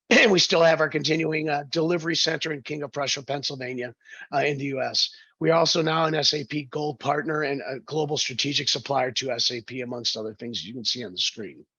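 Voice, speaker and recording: A man with a hoarse, froggy voice hosting a business webinar, halting with many fillers and restarts. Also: a little high, bright, forceful tone, dry recording, muffled recording. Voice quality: gravelly. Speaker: webinar host, sales director. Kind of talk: webinar presentation.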